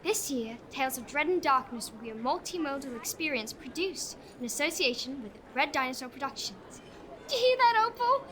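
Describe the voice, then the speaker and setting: A bright, high, swooping young voice, with noise behind it. She is an impulsive, cheeky pickpocket in a comic audio drama, playful and theatrical in every line. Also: expressive, a little fast. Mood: excited. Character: Ruby, cheeky, impulsive young female pickpocket, barely literate.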